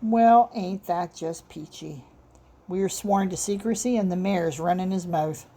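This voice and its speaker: A woman's voice: fairly low pitch, measured pace, warm tone. Woman doing a blunt, rumpled male detective. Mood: aggrieved. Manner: casual, blunt, slangy. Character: lanky, rumpled, grumbling male police detective.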